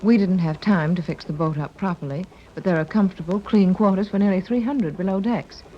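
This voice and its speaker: An actress playing a capable, spirited adventure heroine in a 1930s radio serial. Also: female voice, on the low side, a little fast, lightly acted. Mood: practical. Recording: dull, noisy 1930s radio recording.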